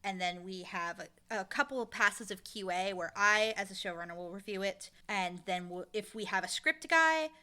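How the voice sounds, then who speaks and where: A woman speaking as an interview guest, casual and enthusiastic, with an animated, emphatic way of talking about creative work. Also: medium pitch, normal speed, few pauses, smooth. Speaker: interview guest, audio drama creator and showrunner.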